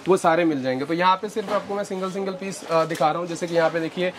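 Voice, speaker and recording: A young man showing products in a warehouse, explaining things slowly with many fillers on a lapel mic. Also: sits high, a little hiss or hum. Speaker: warehouse business owner. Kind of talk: product walkthrough. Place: large indoor warehouse with shelves.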